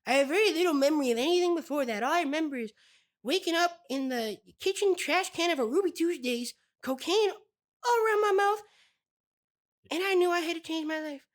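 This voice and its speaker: A man voicing a tiny, simple ninety-two-year-old fisherman, earnest and boastful, in a halting comedy interview with sighs and repeated words. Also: very high voice, expressive. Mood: reminiscing.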